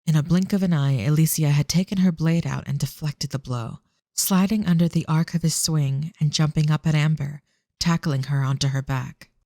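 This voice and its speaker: A narrator reading a scripted audio drama in a measured, descriptive storytelling manner. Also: mid-range voice, mellow, no room sound, studio quality.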